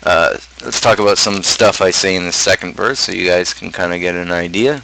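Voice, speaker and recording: A man's voice: low voice, brisk, gravelly, noisy background. A man streamer talking casually about his own song lyrics, rambling and thinking aloud as he goes. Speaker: streamer host and amateur rapper.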